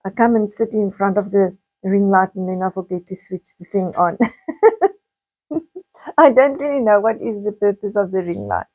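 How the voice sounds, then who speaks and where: A South African woman vlogger talks in a medium-pitched, slightly croaky voice. She chats casually and rambles, often repeating words and wandering off into asides before returning to her point. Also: unhurried, a little mellow, lo-fi sound. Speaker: video blogger. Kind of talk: casual chatty vlog monologue.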